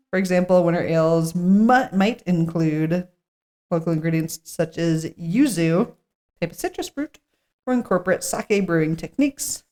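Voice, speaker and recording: A woman's voice: on the low side, darkish tone. A woman co-hosting a podcast, reading a script aloud at a table read and dropping in casual, joking asides. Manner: jokey asides while reading. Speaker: podcast co-host.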